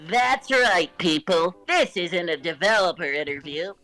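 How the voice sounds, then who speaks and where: Man acting bratty cartoon turtle prince, theatrical show host, animated pitch, deliberate pace, music behind. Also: full character voice, poor audio. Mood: accusing. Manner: theatrical, pompous, emphatic. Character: smug, bratty young turtle prince hosting a web show. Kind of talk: cartoon voice acting in a fan story reading.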